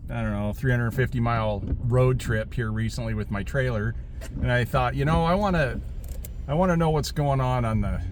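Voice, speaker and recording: Middle-aged man, American accent, medium pitch, casual rambling talk, emphatic repetition, heavy vehicle and outdoor noise. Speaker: vlogger. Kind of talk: casual rambling vlog monologue.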